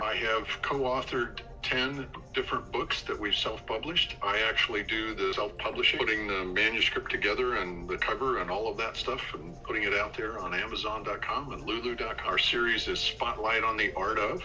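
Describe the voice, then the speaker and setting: A man's voice: mid-range voice, sharp tone, highs cut off. An older retired man on a video call, introducing himself with genial stories and dry humor. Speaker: guest, author. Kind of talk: casual chat.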